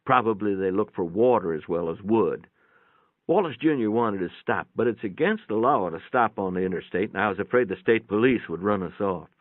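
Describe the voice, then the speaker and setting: A man's voice: on the low side, a little fast, dry recording. A man giving a dramatic first-person reading of a short story in a folksy, matter-of-fact storytelling manner. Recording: muffled, narrow phone-like sound, dry.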